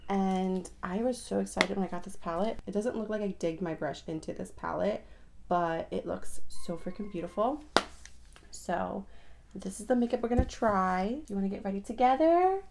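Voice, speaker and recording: A young woman chatting casually through a makeup routine, her voice raspy from just waking up, rambling with lots of fillers. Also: mid-range voice, normal speed, background noise. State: raspy morning voice. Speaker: beauty vlogger. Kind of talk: casual get-ready-with-me chat.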